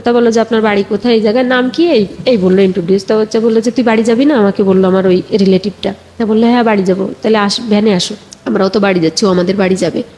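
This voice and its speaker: A Bangladeshi woman telling her own life story conversationally, a little haltingly, quoting remembered exchanges. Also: mid-range voice, fairly even intonation, mellow, a little hiss or hum. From Bagerhat area, Bangladesh.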